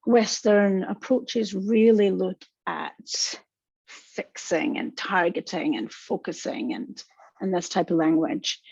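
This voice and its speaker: A woman's voice: medium pitch, slow pace, creaky, slightly rough, dry recording. A woman with a strong Scottish accent, a counsellor giving a webinar in a reassuring way and talking straight to her listeners. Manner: warm, reassuring, speaks to the audience directly. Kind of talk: webinar presentation. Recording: dull, dry lo-fi webinar audio.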